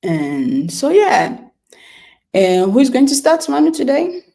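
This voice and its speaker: A woman's voice: high voice, sing-song, takes it slowly, gravelly, warm tone. A woman in her thirties from Ghana, co-hosting a casual chat with her sister, teasing her and restarting her sentences often. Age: 30s. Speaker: podcast co-host.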